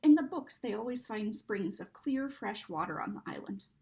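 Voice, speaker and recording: A woman voicing an excitable, bossy schoolboy with exclamatory, old-fashioned phrasing in a storybook. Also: mid-range voice, moderate pace, gravelly. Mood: chatty.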